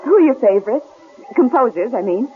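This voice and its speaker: Radio drama female lead: scared, suspicious, quick-witted traveler, urgent and guarded, thin lo-fi vintage sound. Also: on the high side, big pitch swings, soft background music. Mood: probing, careful. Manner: tense, insistent, guarded. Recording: lo-fi old radio recording, faint hiss.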